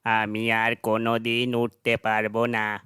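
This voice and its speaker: A man acts an earnest blue bird from a children's cartoon. The voice is very smooth and bright, slow, with little rise and fall. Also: lowish voice, monotone, no echo, studio quality. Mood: despairing. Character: male talking blue bird, simple and earnest.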